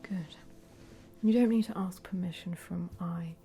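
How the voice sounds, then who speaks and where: A woman voicing an easygoing, cheeky meditation guide in a breathy ASMR whisper, with gentle, rambling instructions. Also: fairly low pitch, measured pace, soft background music. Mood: soothing, gently cheeky. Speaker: ASMR host leading a relaxation session.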